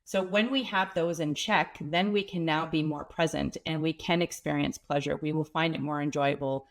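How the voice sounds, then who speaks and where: A woman with a cold and a slightly stuffy, nasal voice, a pelvic health expert calmly explaining things as an interview guest. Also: deep voice, normal speed. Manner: earnest, explanatory, some restarts. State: has a cold, sniffling.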